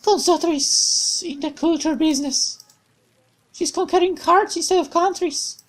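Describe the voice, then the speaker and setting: A woman's voice: extremely high pitch, unhurried, pitched above the performer's own voice. A woman voicing a bubbly, cheerful young woman companion in a visual novel, with a raised, playful, exclamatory voice.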